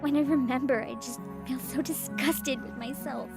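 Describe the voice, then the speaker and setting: A woman's voice: high voice, steady tone, moderate pace, creaky. A woman voicing an earnest, grieving young sister in a visual novel game. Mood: guilty, anguished. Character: grieving young sister, guilt-ridden, earnest, teasing with her brother. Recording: music playing behind the voice.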